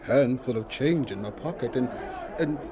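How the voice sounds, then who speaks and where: An actor playing a gentle, modest elderly professor reminiscing in a wry, reflective way in a radio drama. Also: male voice, mid-range voice, normal speed, a crowd in the background, noisy background, lightly acted.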